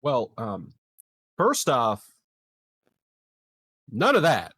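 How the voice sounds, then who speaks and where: A man role-playing casually as a player in a tabletop game, speaking in a hesitant, deliberate way. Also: mid-range voice, huge pitch swings, over music.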